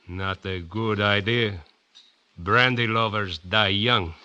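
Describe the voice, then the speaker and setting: A man's voice: fairly low pitch, steady tone, slow, bright, forceful tone, lo-fi sound, heavily acted. An actor playing a possessive, menacing bookie boss in a 1940s radio crime drama, curt and bossy like a tough guy. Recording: vintage 1940s radio recording.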